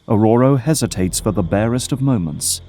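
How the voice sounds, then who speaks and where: A man narrating an audio drama from a script in measured storytelling prose. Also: on the low side, animated, dark tone.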